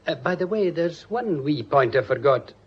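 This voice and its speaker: An actor playing a canny, courteous Scottish police detective in a radio drama, politely probing with a Scottish accent. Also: male voice, fairly high pitch, lively intonation, normal speed, husky. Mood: measured questioning. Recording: dull, muffled radio recording.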